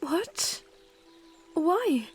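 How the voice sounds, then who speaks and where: Casting: female actor for young princess, audio drama, high voice, slow, gentle and concerned, clear music beneath. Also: very animated, heavily acted. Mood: confused concern.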